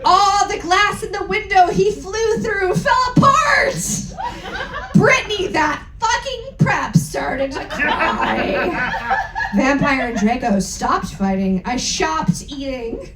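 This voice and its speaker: A woman's voice: sits high, animated, slow, a bit bright. A tipsy woman giving a theatrical, exaggerated comic reading to a live audience, breaking off for joking asides. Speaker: performer, reader. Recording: echoey live room, faint noise.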